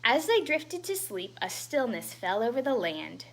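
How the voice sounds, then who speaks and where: A woman reading a story aloud to children as a storytime host, expressive and breaking into chatty asides. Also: fairly high pitch, measured pace. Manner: animated, with chatty asides to young listeners.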